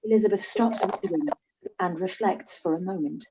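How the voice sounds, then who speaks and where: A woman's voice: lowish voice, normal speed, background noise. A woman reading the stage directions as narrator of a radio play.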